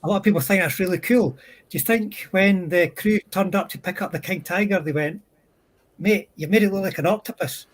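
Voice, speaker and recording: A man's voice: high-pitched, fairly quick. A man on a livestream panel, chatting casually about wargame models.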